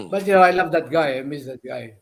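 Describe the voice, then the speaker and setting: An older man with a Filipino accent speaks in a somewhat high-pitched voice. He reminisces conversationally, slipping between Tagalog and English. He often repeats a phrase and quotes past exchanges like a practiced storyteller. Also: lively intonation, normal speed, gravelly, a crowd in the background. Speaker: veteran radio personality.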